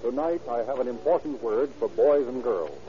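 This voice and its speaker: An American man making scripted, deliberate, declamatory announcements and narration in 1930s radio style. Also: medium pitch, lively intonation, background noise. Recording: dull 1930s radio recording with music.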